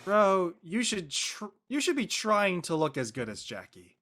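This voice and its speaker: A man playing a lovelorn, defensive high school senior boy who jokes in casual slang in a drama table read. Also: very animated, unhurried, sharp tone, full character voice. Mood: joking, casual. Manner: casual slangy teen talk.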